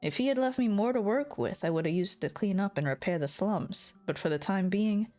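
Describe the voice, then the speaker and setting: A woman voicing a weary, embittered, ambitious lord who narrates the story in a measured noir manner. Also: medium pitch, animated, a little mellow, soft background music, close to an everyday voice. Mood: weary, resolute. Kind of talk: scripted dramatic narration.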